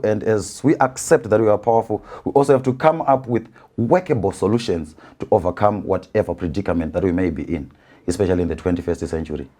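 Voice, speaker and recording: A man from rural Zimbabwe with a Zimbabwean accent, an author explaining his views in an interview with deliberate, emphatic repetition. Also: on the low side, warm tone.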